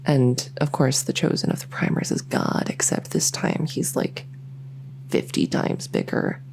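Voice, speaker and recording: A woman's voice: a bass voice, fairly even intonation, unhurried, creaky, a little mellow, slight background noise, heavily acted. A woman voicing a lonely, socially awkward vampire who rambles enthusiastically in an ASMR audio roleplay. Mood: earnest rambling. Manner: rambling, enthusiastic info-dumping, many fillers. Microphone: close ASMR microphone.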